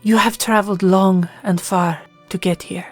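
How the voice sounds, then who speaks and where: A woman's voice: mid-range voice, steady tone, moderate pace, natural voice. A woman playing a solemn, mystical Viking seer in an audio story. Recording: music behind the voice.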